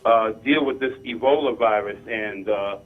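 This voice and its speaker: A man calling in to a talk show, speaking politely and in a measured way with many hesitations. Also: fairly low pitch. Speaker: caller. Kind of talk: call-in discussion. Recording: lo-fi phone line.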